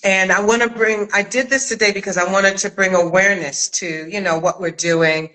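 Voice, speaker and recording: A middle-aged woman hosting a casual chat interview, thinking aloud with hesitant pauses. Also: mid-range voice, steady tone, sharp tone, poor audio. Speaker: interview host and director.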